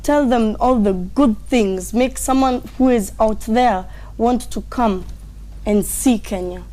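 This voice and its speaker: Earnest woman with a mellow voice. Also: on the high side, slow. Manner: earnest, heartfelt. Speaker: guest. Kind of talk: casual interview.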